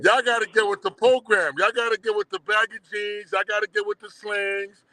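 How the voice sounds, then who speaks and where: A middle-aged man with a Haitian accent, guest on a casual livestream interview, telling animated stories full of slang and swearing. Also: high voice, a little fast, dry recording, low-quality recording. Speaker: guest, documentary producer.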